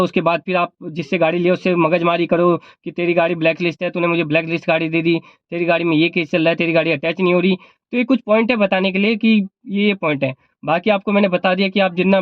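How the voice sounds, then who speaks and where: A man's voice: high voice, no echo, lo-fi sound. A man vlogging informal advice in an explanatory, repetitive way, held back a little by a sore throat. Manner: explanatory, repeats points. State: sore throat, not speaking at full volume. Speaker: vlogger and cab driver. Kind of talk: informal advice vlog talk.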